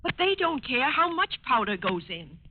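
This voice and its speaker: High-voiced earnest middle-aged cleaning woman. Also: very animated, normal speed. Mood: earnest, worried. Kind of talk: 1940s radio acting. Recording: tinny, noisy 1940s radio recording.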